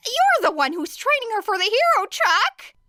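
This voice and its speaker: An excitable, dramatic man, acted out by a woman narrator, spluttering his protests in an audiobook. The pitch leaps far up and swings about, and the delivery is loud and exclamatory, with a dry close sound. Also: moderate pace, dry recording, full character voice. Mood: indignant protest. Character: flustered, excitable, dramatic man, a hero teacher. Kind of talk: audiobook character acting.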